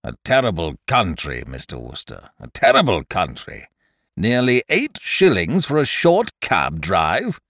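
A stingy old duke grumbles slowly in a deep, gloomy drawl, as a man doing the voices puts him on. His voice drops low and swings heavily between words. The sound is dry and close. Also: wildly swooping pitch, a bit bright, no room sound, exaggerated voice. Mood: disapproving. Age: older. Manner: slow, gloomy, disapproving. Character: grumbling elderly British duke, stingy and fussy. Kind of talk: audiobook character voice.

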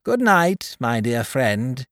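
A man voicing a harsh, sarcastic male toy merchant in a storybook reading, loud and sharp. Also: medium pitch, big pitch swings, slow pace, no room sound, studio quality, pitched above the performer's own voice, exaggerated voice. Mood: sneering.

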